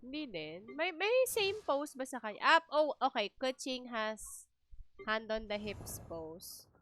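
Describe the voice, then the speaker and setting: A woman's voice: fairly high pitch, sing-song, measured pace, fairly bright tone. A woman with a Filipino accent hosting a game livestream, chatting casually and thinking aloud as she switches between two languages.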